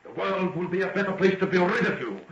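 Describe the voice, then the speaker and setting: A man's voice: high voice, expressive, quick pace, a crowd in the background. Indignant older father, echoey vintage sound. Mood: outraged loathing. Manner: declamatory, outraged, formal. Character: indignant, righteous older father, defiant under threat. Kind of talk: 1940s radio drama acting. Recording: muffled, echoey old radio recording.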